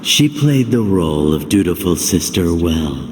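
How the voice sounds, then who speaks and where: An actor playing a sinister godlike entity of despair, speaking in grandiose, mocking oratory in a video game. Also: male voice, sits low, sing-song, measured pace, slight background noise. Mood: mocking, accusing. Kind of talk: theatrical video game villain acting. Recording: loud music under the voice.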